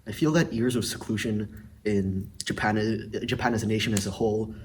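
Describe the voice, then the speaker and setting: Teen boy, low-pitched, measured and reflective, a bit far from the mic, faint background hum. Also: fairly even intonation. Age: teens. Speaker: student. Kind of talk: opinion statement in a discussion.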